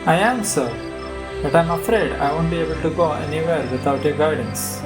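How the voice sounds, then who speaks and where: A man's voice: on the high side, normal speed, lightly acted. A man playing a troubled young male student in an audio drama, speaking respectfully and hesitantly. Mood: earnest, deferential. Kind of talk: scripted audio drama acting. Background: music.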